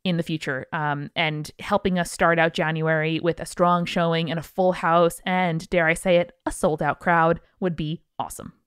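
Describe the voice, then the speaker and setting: A woman's voice: on the low side, steady tone, moderate pace, few pauses, smooth, dry recording, clean studio sound. A woman co-hosting a podcast in a casual, chatty style with announcements for listeners. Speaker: podcast co-host. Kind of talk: casual scripted-feeling podcast chat.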